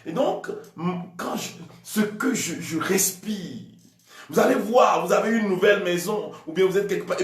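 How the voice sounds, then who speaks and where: A man from Togo, preaching a charismatic sermon as a priest and often shouting with drawn-out emphatic phrases. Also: high voice. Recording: echoey room, voice from a distance.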